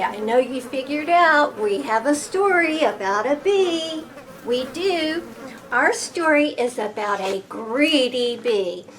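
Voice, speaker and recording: A woman reading a picture book aloud to children, expressive and deliberate, with playful emphasis. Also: sits high, a little hiss or hum. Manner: expressive, deliberate, with playful sound effects and gasps.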